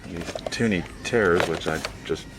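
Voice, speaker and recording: A man's voice: low-pitched, moderate pace, very noisy. A man giving casual commentary as a vlog host, muttering to himself while browsing in a store. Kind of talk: casual toy hunt commentary. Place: inside a retail store aisle. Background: music.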